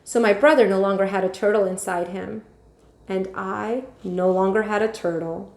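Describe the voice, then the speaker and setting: A woman narrating a children's story aloud like a teacher, expressively and with clear phrasing. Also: medium pitch, fairly even intonation, normal speed, a little mellow. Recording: echoey room.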